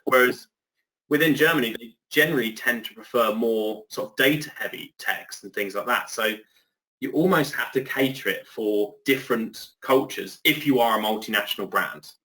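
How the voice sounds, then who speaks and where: A man's voice: medium pitch, normal speed, sharp tone, poor audio. An English man speaking as a guest on a webinar, chatty and loose, with many fillers and restarts, on a video call. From England. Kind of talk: conversational webinar discussion.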